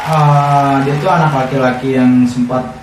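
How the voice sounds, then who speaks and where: A man's voice: medium pitch, steady tone, normal speed. Distant Indonesian man in informal chat. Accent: Indonesian, Jakarta colloquial. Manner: informal, conversational. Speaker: podcast co-host. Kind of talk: casual chat. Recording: echoey room, distant mic, faint noise.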